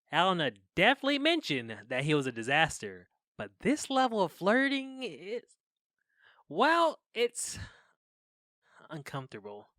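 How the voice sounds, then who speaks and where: A flustered young man confessing into his podcast mic in an audio drama. His bright voice leaps up and down as he rambles and hesitates, awkward and lovelorn. The sound is close and dry. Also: high voice, wildly swooping pitch, measured pace, no echo, heavily acted. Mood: uneasy. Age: young adult.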